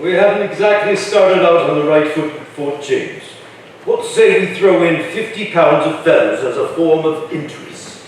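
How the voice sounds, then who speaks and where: A man's voice: a little high, moderate pace, natural voice. A man playing an eager, deferential colonial settler in a staged reading. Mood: careful. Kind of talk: staged historical drama reading. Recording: echoey hall from far off, noisy.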